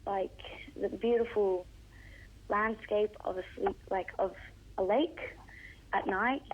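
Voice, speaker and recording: A woman's voice: medium pitch, fairly even intonation, slow pace, muffled recording. A young girl, a Russian speaker at home, giving shy, hesitant short answers in a radio interview. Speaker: young singer guest.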